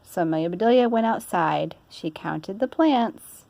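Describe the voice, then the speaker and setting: A woman's voice: medium pitch, animated, normal speed, gravelly, mellow, no echo. A woman reading a children's story aloud in an informal way. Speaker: storybook reader.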